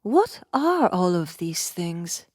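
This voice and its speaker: A woman's voice: lowish voice, sing-song, darkish tone, dry recording, studio quality, full character voice. A woman playing a cool, deadly female warrior, speaking formally and matter-of-factly in a cinematic audiobook. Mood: cool, questioning. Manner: formal, measured, matter-of-fact.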